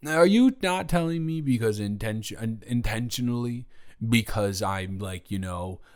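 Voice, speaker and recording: A man's voice: on the low side, normal speed, darkish tone, clean tone, natural voice. A young man playing a playful drunk college roommate in an intimate audio roleplay, rambling, slurring, joking and repeating himself. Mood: teasing, tipsy. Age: young adult.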